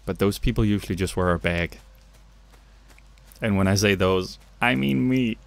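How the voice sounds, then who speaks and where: A man's voice: on the low side, moderate pace, vocal fry. A young man from Denmark with a Danish accent, chatting through a game with jokey, self-mocking asides at his home setup. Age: young adult. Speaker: gaming YouTuber. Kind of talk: let's play commentary. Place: home gaming setup, indoors.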